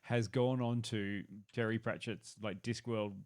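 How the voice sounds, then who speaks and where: A man's voice: lowish voice, fairly even intonation, a little slow, very noisy. A man chatting casually as a podcast panelist.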